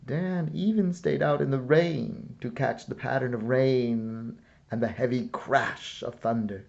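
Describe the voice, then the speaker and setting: Guy reading a picture book out loud, real slow, bit of fry in the voice, muffled lo-fi sound. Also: medium pitch. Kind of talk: scripted storybook narration.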